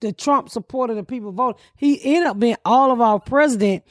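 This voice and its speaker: A woman comedian with a deep voice, hosting a casual podcast and talking bluntly with lots of swearing. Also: fairly quick, dry recording, studio quality. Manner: brash, profane, blunt. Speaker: comedian host.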